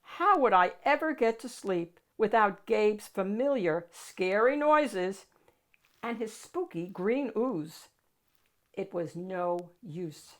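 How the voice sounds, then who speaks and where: A woman reading a picture book aloud to children as host, in an animated, dramatic storytelling style. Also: medium pitch, slow pace.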